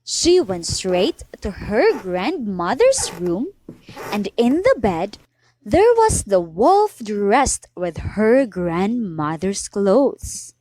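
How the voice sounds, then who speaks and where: A woman's voice: mid-range voice, very animated, drawn-out words, a little mellow, no echo, low-quality recording. A woman narrating a children's fairy tale from a script in a dramatic storybook style.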